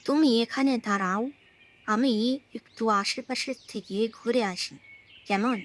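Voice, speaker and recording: A woman's voice: on the high side, a little slow, slight background noise, close to an everyday voice. Woman voicing a cautious cartoon myna bird. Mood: practical. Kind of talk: children's cartoon voice acting.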